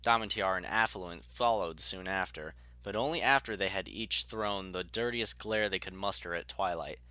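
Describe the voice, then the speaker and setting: A man reading scripted story narration as an audiobook narrator, in a measured, articulate way. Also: low voice, bright, forceful tone, no room sound.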